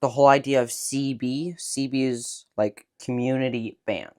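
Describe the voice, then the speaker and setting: A man's voice: medium pitch, fairly even intonation, normal speed, darkish tone. A man giving a casual hands-on demonstration of a radio, explaining each control in turn and pointing things out as he goes.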